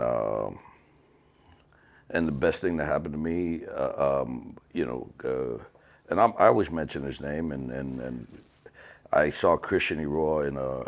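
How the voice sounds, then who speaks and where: Guy in his fifties, real low voice, rambles and restarts a lot, chatty interview. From Cuba. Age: 50s. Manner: animated, rambling, many restarts. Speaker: cigar company owner. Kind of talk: casual storytelling interview.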